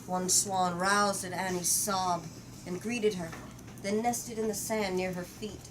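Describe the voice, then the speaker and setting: A woman reading a story aloud to young listeners in an expressive, measured way, then leading a discussion about it. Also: medium pitch, recorded from a distance. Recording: noisy room recording.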